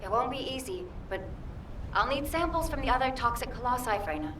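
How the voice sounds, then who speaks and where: A high, expressive woman's voice, an actress as a protective, reproachful scientist in a dramatic video game. She speaks with earnest care and real feeling. A faint rumbling noise sits behind her. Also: brisk, natural voice. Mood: resigned, reproachful.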